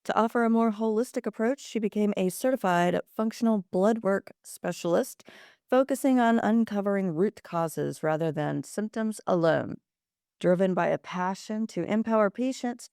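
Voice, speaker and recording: A woman's voice: mid-range voice, a little mellow, clear, resonant tone, no echo, studio quality. A woman hosting a podcast, friendly and upbeat as she introduces her guest and asks questions. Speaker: podcast host. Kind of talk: casual host intro and interview.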